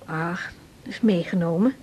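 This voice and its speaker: Mellow, hesitant young mother. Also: on the low side, normal speed, husky. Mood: tender, proud. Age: young adult. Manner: hesitant, repeats words. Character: young adoptive mother, tender, hesitant, guilt-ridden. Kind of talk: 1980s radio drama acting.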